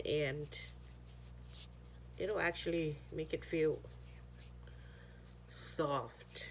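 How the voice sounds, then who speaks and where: A woman whose first language is Chamorro, chatting casually through a home how-to video, sometimes searching for words. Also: mid-range voice. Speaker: home cooking vlogger.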